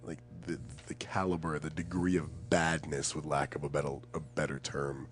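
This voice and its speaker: A man's voice: very deep voice, little pitch movement, normal speed, soft background music. A young man chatting casually and reflectively as a podcast guest, earnest and emphatic, often searching for the right words.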